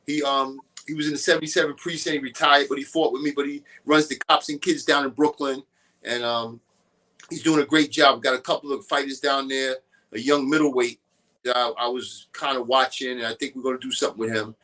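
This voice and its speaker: A man's voice: medium pitch, flat delivery, a little fast, pushed and bright, poor audio. A man as a guest on a boxing talk show, giving loose and opinionated casual talk.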